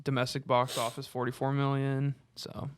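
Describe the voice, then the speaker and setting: A man's voice: mid-range voice, monotone, moderate pace, clear, resonant tone. A man hosting a podcast with casual, conversational banter. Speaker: podcast host.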